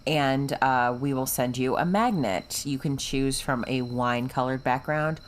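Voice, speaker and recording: Low-voiced woman chatting as podcast co-host. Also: a little slow. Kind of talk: casual chat.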